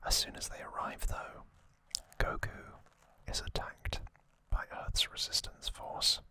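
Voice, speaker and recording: An ASMR host whispering in a breathy, unvoiced voice, giving an informal recap in short, halting phrases. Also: bright, forceful tone.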